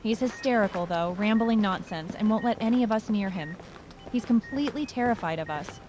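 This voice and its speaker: A woman's voice: medium pitch, fairly quick, darkish tone, slight background noise, lightly acted. A woman playing a polite, concerned hospital nursing sister in a horror audio drama, with a deferential manner. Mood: worried.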